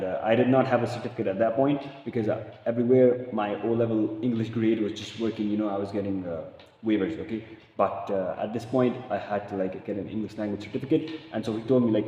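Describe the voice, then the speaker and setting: A man's voice: lowish voice, warm tone, muffled recording, a little hiss or hum. Young Pakistani man, rambling in an echoey room. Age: young adult. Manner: rambling, slangy, says "bro" a lot, laughs often. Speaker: vlogger. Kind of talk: casual chat. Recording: echoey room with long reverb.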